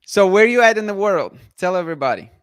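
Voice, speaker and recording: A man's voice: high-pitched, big pitch swings, quick pace, clear, resonant tone, dry recording, clean studio sound. A man hosting a live online show, upbeat, greeting viewers by name and interviewing a guest over a video call.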